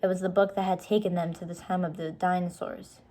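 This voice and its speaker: A woman's voice: lowish voice, flat delivery, fairly quick, mellow. A woman reading a children's storybook aloud, in simple, explanatory style for young listeners.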